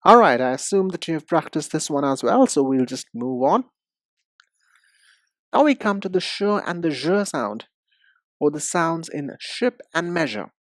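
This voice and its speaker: A man teaching English pronunciation in a deliberate, instructive way, speaking directly to the learner. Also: fairly high pitch, very animated, no echo, clean studio sound. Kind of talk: instructional lesson.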